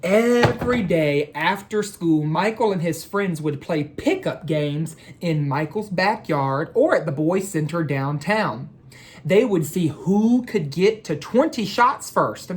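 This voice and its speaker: A woman educator presenting and reading a children's storybook aloud in a deliberate, measured way. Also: lowish voice, animated. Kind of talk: storybook read-aloud with introduction.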